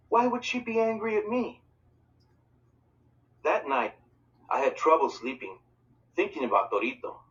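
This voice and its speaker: A man reading a children's novel aloud as an expressive, dramatic narrator. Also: on the high side. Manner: dramatic, voices dialogue for characters. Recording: distant mic, dull lo-fi sound.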